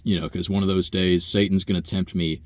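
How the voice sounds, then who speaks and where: A young man with a very low, buzzy voice talks in a loose, profane ramble, trailing off into fillers and sighs. The sound is close and dry, with no room around it. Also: level tone, fairly quick, no room sound. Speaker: vlogger. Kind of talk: rambling personal monologue.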